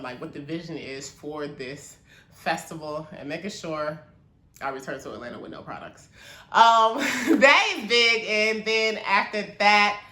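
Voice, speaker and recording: Chatty woman with a bright voice. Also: fairly low pitch, reverberant. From Maryland, US. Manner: chatty, loose and encouraging, uses lots of fillers. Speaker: small business owner. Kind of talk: casual talk to camera.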